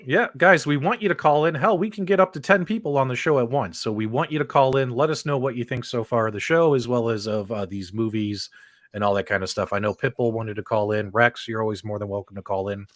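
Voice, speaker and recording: A man's voice: medium pitch, fast, a bit bright, no room sound, studio quality. A man hosting a gaming talk livestream, chatting casually with a panel and viewers.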